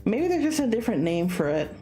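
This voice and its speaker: A woman's voice: medium pitch, big pitch swings, fast talker, mellow, soft background music, natural voice. A woman playing a curious, polite young male student in a visual novel, speaking in a hesitant, conversational way. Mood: musing.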